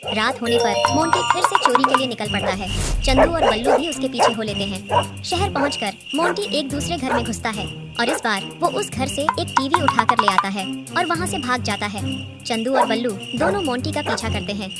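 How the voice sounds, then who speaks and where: A woman narrates a scripted cartoon tale as its storyteller. Her voice is fairly high, and loud music plays behind it. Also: lively intonation, moderate pace.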